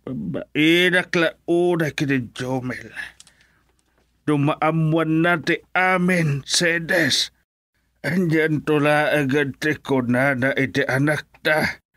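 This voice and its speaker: Slow, grumbling old village father. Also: a little high, steady tone, a bit bright, no echo, studio quality. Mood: aggrieved, bitter. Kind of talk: Ilocano radio drama acting.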